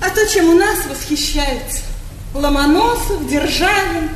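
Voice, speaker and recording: A woman's voice: extremely high pitch, a little slow. An actress playing a frivolous, haughty aristocratic lady in a stage comedy, gushing affectedly with French words sprinkled in. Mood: airy, opinionated. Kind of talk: theatrical stage comedy acting. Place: theatre stage. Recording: echoey theatre stage, distant, hissy 1980s recording.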